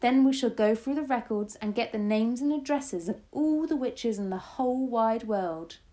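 A woman's voice: fairly high pitch, expressive, moderate pace, dark tone, voice pushed up, close to an everyday voice. Woman putting on a feisty, emphatic old grandmother. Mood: rousing, triumphant. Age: older. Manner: bold, emphatic, impatient declarations. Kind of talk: storybook read-aloud.

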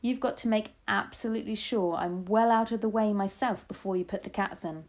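A woman's voice: medium pitch, a little fast, close to an everyday voice. A woman voicing an eager, clever and slightly anxious young boy turned mouse in a storybook reading. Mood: eager, cautious. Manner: excitable, earnest exclamations. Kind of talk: storybook read-aloud.

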